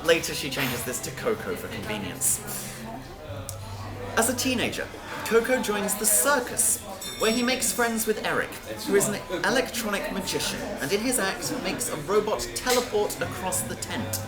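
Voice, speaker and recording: A woman's voice: medium pitch, animated, normal speed. A woman reading a scripted story aloud as a narrator, with music behind her. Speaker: reader.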